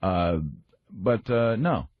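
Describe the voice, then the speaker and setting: A man in a casual radio discussion, speaking in a halting, rambling, aggrieved way. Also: on the low side, animated. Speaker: radio show staff member and comedy writer. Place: radio studio. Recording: slightly dull, lo-fi radio audio.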